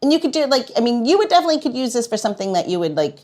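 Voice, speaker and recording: A woman hosting a podcast in a playful, expressive way, moving between banter and show announcements. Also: a little high, rapid-fire. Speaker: podcast host.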